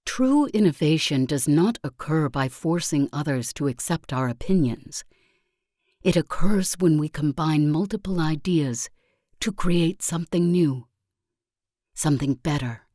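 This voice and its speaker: Slow, low-voiced serene guru. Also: female voice, lively intonation, mellow, dry recording, studio quality. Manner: measured, solemn, preacherly. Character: serene female spiritual self-improvement guru, earnest and uplifting. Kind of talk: scripted game voice lines, motivational speech.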